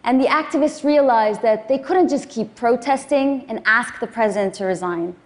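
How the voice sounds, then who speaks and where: A woman in her thirties, born in Afghanistan, giving a prepared public talk in a measured, earnest way. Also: fairly high pitch. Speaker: speaker.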